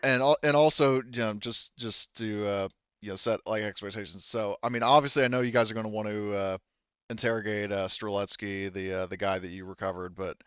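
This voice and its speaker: A man speaking as game master, casually narrating a tabletop roleplaying game in a halting, improvised way. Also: fairly low pitch, steady tone, a bit bright, clean tone, no echo, dull audio. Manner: halting, informal, loosely improvised delivery.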